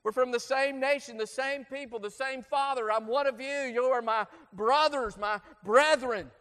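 An ancient apostle pleads with a hostile crowd in a high, impassioned voice, pressing the same point again and again, as a man acts him out. The sound rings with long reverb, as if from a large hall. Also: normal speed, fairly bright tone, clean tone. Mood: pleading, earnest. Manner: impassioned, insistent repetition.

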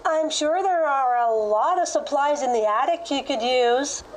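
Woman playing a cheerful high-voiced mom. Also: unhurried, slight background noise, poor audio. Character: cheerful, kindly foster mother. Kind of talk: children's audio story acting.

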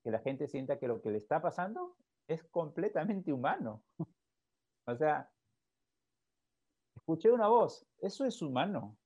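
A man, a psychologist, calmly explaining his approach in a reflective podcast talk, often ending thoughts with a questioning tag. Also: a little high, measured pace, long pauses, husky, no echo. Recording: dull, lo-fi, dry sound.

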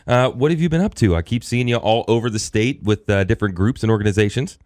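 A man's voice: on the low side, quick pace, darkish tone, dry recording, studio quality. A man working as a radio interviewer, asking questions in a casual, chatty way.

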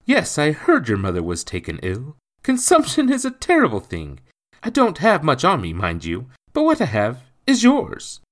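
A man voicing a kindly, concerned gentleman in an audiobook, genteel and sympathetic in manner. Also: a little high, wildly swooping pitch, normal speed, voice pushed up. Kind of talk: audiobook character voice.

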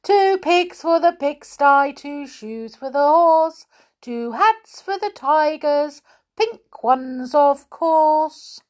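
A woman voicing an eccentric, friendly, hard-of-hearing old man in a children's storybook, loud and eager to oblige. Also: sits high, very animated, measured pace, voice pushed up. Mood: cheerful, sing-song.

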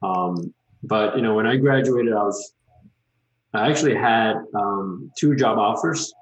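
A man in his thirties from Malaysia, a guest in an interview, talking casually and relaxed with many "um" and "you know" fillers. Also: lowish voice, unhurried, echoey room, low-quality recording.

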